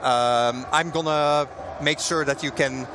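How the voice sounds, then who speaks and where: Male dev guest, a bit high-voiced, rambling and friendly, with booth noise clearly audible behind him. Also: slow pace. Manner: relaxed, explanatory, many fillers and restarts, laughs often. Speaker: game developer guest. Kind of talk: casual booth interview. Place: busy outdoor convention booth.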